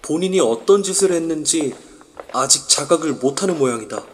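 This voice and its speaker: A man's voice: on the high side, animated, brisk, a little hiss or hum. A man narrating an amateur audio drama in an irritated inner monologue. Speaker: narrator and lead.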